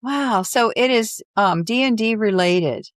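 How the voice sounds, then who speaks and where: A woman's voice: on the high side, unhurried, no echo, studio quality. A mature woman hosting a conversational interview, reacting with open interest and encouragement. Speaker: interview host.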